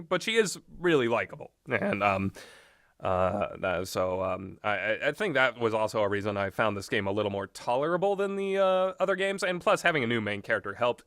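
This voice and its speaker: A man rambles through blunt, casual commentary in a bright, forward voice. His pitch swings around a lot as he halts, restarts and fills gaps while thinking. The recording is close and dry. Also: dry recording. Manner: hesitant, halting, many filler words and trailing thoughts. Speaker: host of a video game talk.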